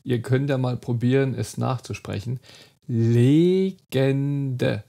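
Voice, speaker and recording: Slow, gravelly-voiced man teaching pronunciation. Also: medium pitch, warm tone. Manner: slow, demonstrative, repeats model words. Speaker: German teacher. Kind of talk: online phonetics lesson.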